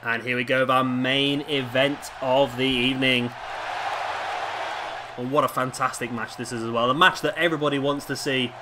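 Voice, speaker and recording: A hoarse man with a sore throat giving casual play-by-play commentary as host of a wrestling game video. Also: mid-range voice, steady tone, normal speed. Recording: clearly noisy home recording.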